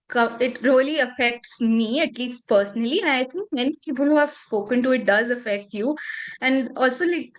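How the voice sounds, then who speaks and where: Woman, about thirty, Punjabi native, podcast guest, candid and reflective, slightly high voice. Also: low-quality recording. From northern India. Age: 30s. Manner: earnest, reflective, speaks candidly. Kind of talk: casual interview conversation.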